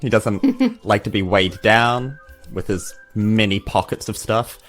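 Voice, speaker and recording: A man's voice: lowish voice, unhurried, fairly bright tone, faint music behind. A man, chatting casually and wryly as a player on a game podcast. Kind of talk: casual banter and explanation.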